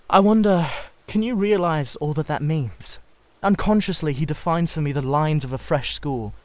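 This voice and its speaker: A man's voice: a little high, dry recording, lightly acted. A man voicing an intense, idolising painter who speaks in an earnest, confessional way for a dramatised storybook reading. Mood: rapt. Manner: fervent, halting, confessional. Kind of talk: dramatised literary audiobook reading.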